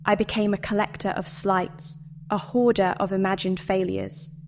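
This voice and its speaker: A woman delivering a scripted guided meditation and dramatic monologue in a measured, deliberate way with pauses. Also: mid-range voice, fairly even intonation, slight background noise. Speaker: meditation narrator.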